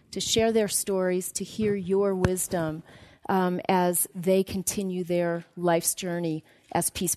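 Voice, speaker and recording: A woman giving a formal spoken introduction as host, speaking deliberately in short phrases. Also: medium pitch, darkish tone. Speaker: host introducing youth delegates.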